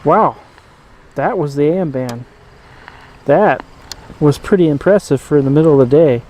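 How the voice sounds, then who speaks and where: Mellow, halting man showing a gadget. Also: on the high side. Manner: halting, rambling, thinking aloud. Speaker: host of a radio collecting channel. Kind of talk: casual hands-on gadget demonstration.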